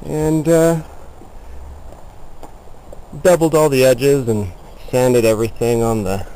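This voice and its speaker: A man's voice: medium pitch, steady tone, slow pace, warm tone, smooth, low-quality recording. A plainspoken man giving a casual, explanatory walkthrough of something he built, talking from behind a handheld camera outdoors. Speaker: DIY builder showing a chicken coop. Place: outdoors in a yard beside the coop. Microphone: handheld camera mic, speaker behind the camera.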